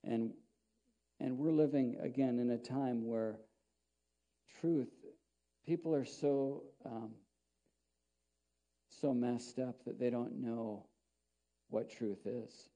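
A man's voice: medium pitch, fairly even intonation, slow pace, pauses often, warm tone. A middle-aged man, a pastor, preaching a sermon in a small church and reading scripture aloud in a conversational, rambling way with frequent restarts. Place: small church sanctuary, indoors.

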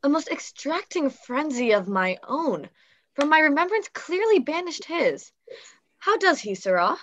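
Noblewoman for a Shakespeare comedy, played by a woman: dignified, fairly high voice, slightly muffled call audio. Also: very animated, a little slow, a bit bright, slight background noise, heavily acted. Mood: brisk, commanding. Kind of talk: live table read of Shakespearean verse drama. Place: indoor room, video call. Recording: dull video-call audio.

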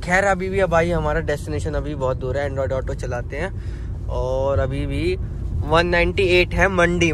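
A man's voice: on the high side, a bit bright, slight background noise. A young man chatting casually to viewers during a night road trip, filmed on a phone inside his car. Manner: chatty, addresses viewers as bhai. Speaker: car vlogger.

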